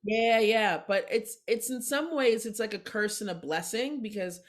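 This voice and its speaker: A woman's voice: a little high, normal speed. A woman of forty, a comedian guest, telling stories in a casual podcast chat and acting out the voices of people she quotes. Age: 40s. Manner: animated storytelling, acts out quoted voices.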